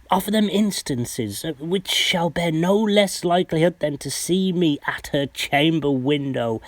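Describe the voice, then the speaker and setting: A man acting a sly, cunning henchman in a Shakespearean radio play, deliberate and conspiratorial. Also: fairly high pitch, no room sound, close to an everyday voice. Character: sly, cunning henchman plotting slander for pay. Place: home recording.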